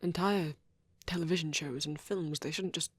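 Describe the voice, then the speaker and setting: Husky, shy young vampire boy played by a woman. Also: low voice, normal speed, no echo, studio quality. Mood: earnest, rambling. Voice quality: soft, husky, breathy. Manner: hesitant, stammering, self-doubting. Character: shy, nervous young male vampire on a date. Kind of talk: ASMR boyfriend roleplay, improvised rambling.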